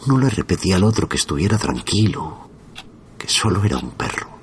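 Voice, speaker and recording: A man from narrating a first-person horror story in a slow, hushed, suspenseful manner. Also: deep voice, big pitch swings, a little hiss or hum.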